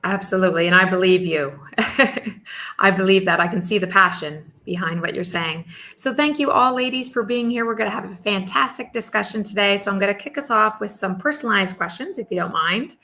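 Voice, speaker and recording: A woman's voice: mid-range voice, a little fast, pushed and bright. A woman moderating an online panel, upbeat and welcoming, speaking over a video call.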